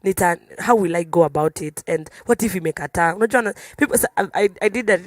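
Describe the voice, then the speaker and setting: A woman's voice: fairly low pitch, fast talker, no echo. Kenyan woman chatting, close dry sound. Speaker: musician, singer, panel guest. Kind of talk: casual conversational panel talk.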